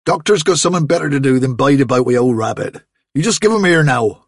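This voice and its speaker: A man doing a gruff country farmer dad. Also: mid-range voice, expressive, fast talker, no room sound, studio quality, pitched above the performer's own voice. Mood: firm. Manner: gruff, scolding, broad country speech. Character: gruff middle-aged farmer father, blunt and practical.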